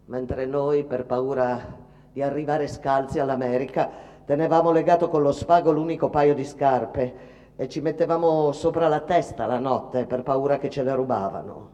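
A woman's voice: very deep voice, normal speed, mellow, muffled recording. A poor immigrant mother, weary, scolding and superstitious. She tells her story in a rustic, emphatic, theatrical radio-play manner. The sound rings as in a large hall. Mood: weary, sorrowful. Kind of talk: dramatic theatrical monologue for radio.

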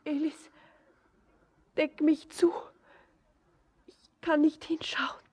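An actress playing a devoted, anxious young woman in a poetic radio drama, breathy and tenderly imploring. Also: high-pitched, little pitch movement, slow. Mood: frightened, imploring. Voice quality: breathy, half-whispered.

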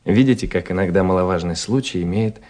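An actor playing a cultured gentleman traveler, giving measured, literary narration and dialogue in a radio play. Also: deep voice, lightly acted. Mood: reflective. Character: cultured young gentleman officer, traveling writer telling his story.

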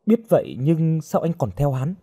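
Audio-story captive heroine played by a man: young woman, high, husky, scared yet defiant. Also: big pitch swings, moderate pace, dark tone, no echo, clean studio sound, full character voice. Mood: shaken, questioning.

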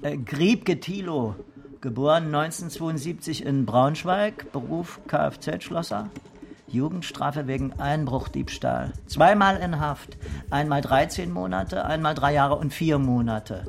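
A man's voice: on the high side, measured pace, creaky, slight background noise. An actor playing a cynical, wisecracking male police detective in a German radio crime drama, with a flippant, sarcastic manner. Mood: matter-of-fact. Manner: sardonic, flippant.

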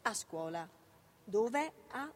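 A woman's voice: fairly high pitch, sing-song, extremely slow, slight background noise, full character voice. An actress playing a proud, protective mother and teacher, correcting and interrupting in a naturalistic radio drama. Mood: anxious, correcting. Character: proud, sharp-tongued mother and schoolteacher, protective, correcting her son.

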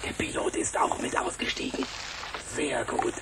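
A man's voice: normal speed, distant mic, very noisy, natural voice. A man acting a cool, decisive spaceship pilot leading an escape in a German radio play. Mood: cool, alert.

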